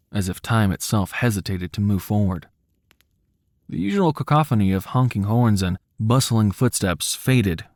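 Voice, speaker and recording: A man narrating a scripted story in a cinematic, dramatic style, with a measured, solemn, hushed manner. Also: on the low side, dry recording, clean studio sound.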